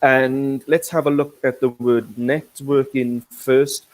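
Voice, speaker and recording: Slow-speaking Welsh-born male teacher. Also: mid-range voice, level tone. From Wales. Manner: slow and careful, many fillers, repeats words. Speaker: corporate English teacher, webinar host. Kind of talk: teaching webinar, explaining words.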